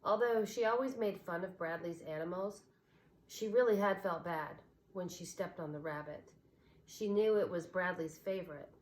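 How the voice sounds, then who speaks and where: A woman teacher reading a children's novel aloud, expressive and changing voices for the characters. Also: lowish voice, moderate pace, low-quality recording.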